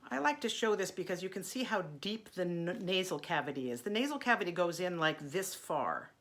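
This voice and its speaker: A woman teaching an online singing lesson in a gentle, chatty way, her voice a little congested from allergies. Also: on the low side, lively intonation, a little fast. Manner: gentle, chatty, demonstrating vocal sounds.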